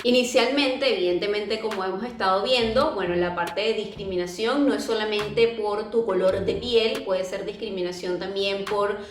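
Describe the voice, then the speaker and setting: A young woman with a Venezuelan accent talks earnestly to her vlog audience, often pausing with fillers and restarting her sentences. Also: mid-range voice, slight background noise. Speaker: YouTuber. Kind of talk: casual vlog talk to camera. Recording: echoey room with music behind.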